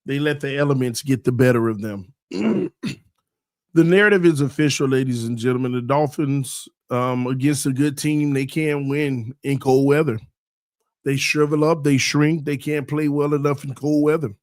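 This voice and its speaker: A man's voice: mid-range voice, fairly even intonation, moderate pace, a little mellow, dry recording, clean studio sound. A man hosting a solo live sports show in a sing-song, hyped morning delivery, saying he is losing his voice.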